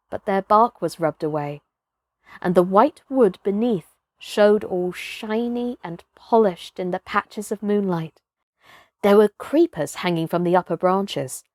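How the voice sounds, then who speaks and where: A woman reading a children's story expressively, with dramatic delivery that moves between hushed and emphatic. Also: mid-range voice, dry recording, studio quality. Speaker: storybook reader, performer.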